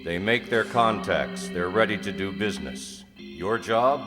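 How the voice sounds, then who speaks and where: A man's voice: on the low side, measured pace, lightly acted. A man playing a solemn, authoritative 1950s radio crime show announcer, speaking in a dramatic, declamatory style. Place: live stage performance in a hall. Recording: echoey hall with loud music behind.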